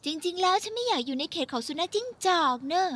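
A woman's voice: very high voice, lively intonation, fast. Sweet young girl bird. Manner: gentle, polite, hesitant. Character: sweet young female bird with hurt wing. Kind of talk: cartoon dub.

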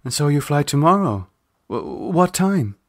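A man voicing an anxious, caring woman with lively pitch. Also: unhurried, no room sound, clean studio sound, exaggerated voice. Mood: surprised, questioning. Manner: anxious, earnest questioning. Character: worried, caring woman, questioning and reproachful. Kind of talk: audiobook character dialogue.

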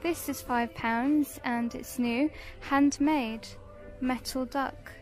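High-pitched British woman, unhurried shopping vlog. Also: fairly even intonation, faint music behind. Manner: short descriptive phrases, listing items. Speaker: shopping vlogger. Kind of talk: casual shop-with-me product showcase narration.

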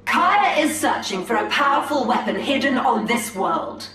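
An actress playing a proud, calm alien elf seer in a video game, with a solemn, deliberate, formal delivery. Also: female voice, on the high side. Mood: solemn, persuasive. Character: proud, calm female Eldar seer striking a bargain. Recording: echoey, distant, faint music behind.

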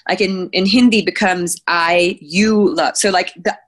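A woman in her thirties from Sri Lanka, a podcast guest chatting over a video call from home, talking in quick, animated bursts full of restarts. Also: on the low side. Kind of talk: casual chat. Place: home room, indoors. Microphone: video call with headphones.

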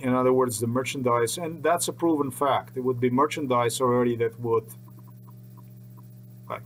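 A middle-aged man speaks unscripted into a desk webcam, circling back and repeating his phrases in an earnest, rambling way. His voice sits at an ordinary pitch for a man. A faint electrical hum runs under the small indoor room. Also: steady tone, moderate pace. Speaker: vlogger. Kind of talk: unscripted monologue to camera.

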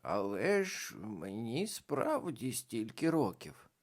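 Brief: little witch, stubborn and eager, as the reader puts her on, lively raised storybook voice. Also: mid-range voice, slow, heavily acted. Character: small, stubborn real witch girl.

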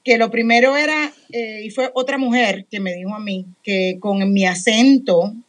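A chatty, lively woman with a Puerto Rican accent, speaking a bit high, leading a women's panel over a video call. She is middle-aged and talks a lot, teasing herself as she goes, into a studio microphone with headphones on. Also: moderate pace. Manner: talkative, animated, self-deprecating. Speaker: radio program director and moderator. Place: home room with headphones and studio mic.

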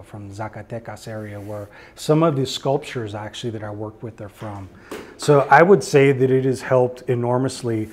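A man speaks as an artist taking questions from a small gallery audience. His voice sits at a medium pitch. He is earnest and reflective, often pausing and restarting as he works out his answer. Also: darkish tone. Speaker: artist giving a gallery talk. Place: indoor art gallery before a small seated audience.

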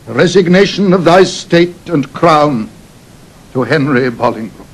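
An actor playing a solemn, formal statesman, declaiming measured verse in classical audio drama. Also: a little high, lively intonation, husky, full character voice.

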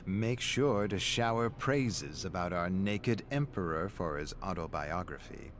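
A man's voice: low voice, animated, measured pace, fairly bright tone, a little hiss or hum, heavily acted. A man voicing a wry, gossipy female office manager with a knowing, sardonic manner. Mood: wry, mocking.

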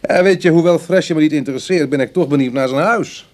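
An actor playing a wry, sceptical ex-burglar in a radio drama, husky and brooding, with hesitant conversational delivery. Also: male voice, on the high side, quick pace, close to an everyday voice. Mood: teasing. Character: wry, sceptical male ex-burglar on a prison committee. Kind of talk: Dutch radio drama acting.

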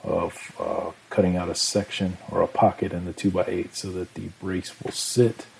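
A man narrates building instructions in a very low, gravelly voice. He keeps a flat, even delivery with a dark tone and faint noise in the background. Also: normal speed, poor audio. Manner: steady step-by-step explaining with "uh" fillers. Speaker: DIY channel host. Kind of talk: instructional voice-over.

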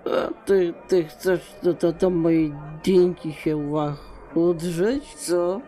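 Slurring, stammering drunk nobleman, very slow. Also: on the high side, fairly even intonation, mellow, soft background music. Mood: suspicious. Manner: halting, rambling. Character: drunk, muddled, suspicious aristocratic dignitary. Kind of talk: audio drama acting.

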